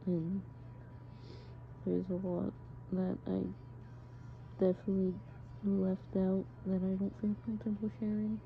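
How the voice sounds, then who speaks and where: A young adult woman talking haltingly and informally, as if into a personal audio diary. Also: mid-range voice, level tone. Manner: hesitant, halting, informal with swearing. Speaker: audio diarist. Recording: muffled home recording, clear background noise. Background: background noise, friends' voices.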